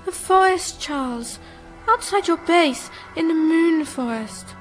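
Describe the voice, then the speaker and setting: Radio drama: serene moon spirit woman, played by an actress, high register, softly coaxing and alluring, light music underneath. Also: lively intonation, full character voice. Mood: serene, mysterious. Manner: gentle, coaxing, unhurried. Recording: music behind the voice.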